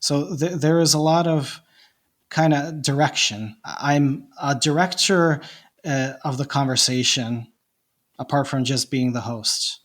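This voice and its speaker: A man's voice: mid-range voice, measured pace, fairly bright tone. A man from Bosnia with a Bosnian accent, a non-native English speaker, talking reflectively in a casual podcast chat with frequent restarts. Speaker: podcast host, guest.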